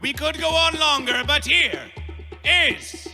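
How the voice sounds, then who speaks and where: A man's voice: very high voice, takes it slowly, pushed and bright. A man working as a festival master of ceremonies, announcing in a grandiose, dramatic town-crier manner and stretching out key words. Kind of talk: theatrical stage announcing, chanted band introductions. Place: live festival stage. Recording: live stage sound, faint music behind.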